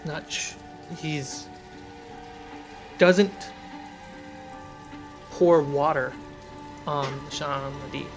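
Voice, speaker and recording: A man narrating a tabletop fantasy game as game master, in a measured, suspenseful way with dramatic pauses. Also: fairly high pitch, steady tone, poor audio. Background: cinematic music score.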